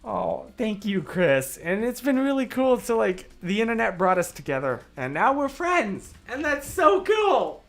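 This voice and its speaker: A young man with a worn-out, hoarse voice hosts a live stream, rambling through his thoughts and laughing easily as he answers chat. Faint music plays softly behind him. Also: high voice, very animated, measured pace, few pauses. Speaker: livestream host. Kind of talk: casual livestream chat, answering comments.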